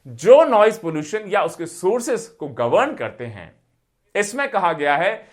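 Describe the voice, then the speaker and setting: A man's voice: high voice, sing-song, a little slow. A man with an Indian accent teaching in an explanatory lecture style, mixing in English terms and listing points with repetition for emphasis. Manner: didactic, repeats and lists points for emphasis. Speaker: teacher for civil service exam aspirants.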